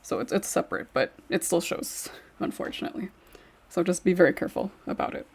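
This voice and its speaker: A woman moderating a casual podcast chat as host, speaking with a husky, gravelly voice. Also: lowish voice, fast talker, darkish tone.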